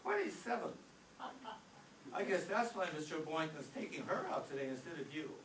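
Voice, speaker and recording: An actor playing an eager, cheeky, gossipy teenage high school boy in an old-time radio comedy, recorded from a distance in a roomy space. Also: lively intonation, a little fast, a bit bright, lightly acted. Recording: distant roomy stage pickup, faint noise.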